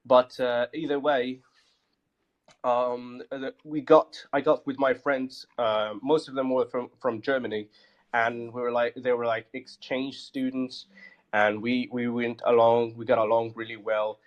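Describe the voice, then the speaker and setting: A man from Morocco, raised abroad, talking casually about his crypto project in a halting way full of ums and restarts. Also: mid-range voice, level tone, muffled recording. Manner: halting, many fillers and restarts. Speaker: project founder guest. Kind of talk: casual live audio chat.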